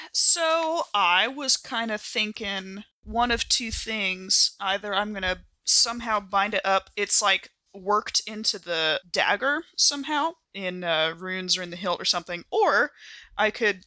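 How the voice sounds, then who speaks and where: A woman's voice: mid-range voice, a little slow, bright, forceful tone, no room sound. An American woman playing a tabletop game, talking casually and thinking aloud, reworking her ideas mid-sentence. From United States. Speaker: player in an actual-play podcast. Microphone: close studio microphone.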